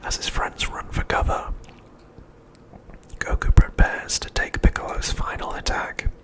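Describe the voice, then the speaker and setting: A man narrating an ASMR recap in a soft, breathy, unvoiced whisper, hushed and unhurried. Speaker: ASMR host.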